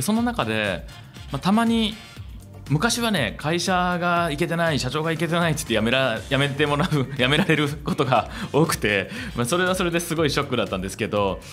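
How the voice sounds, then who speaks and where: A middle-aged male radio host talks quickly in a fairly low voice. He is conversational and reflective, close to a table mic, with music playing softly behind him. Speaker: radio show host and company founder. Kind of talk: conversational radio monologue and interviewing. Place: small room, seated at a table. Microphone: table microphone on a stand. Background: soft music.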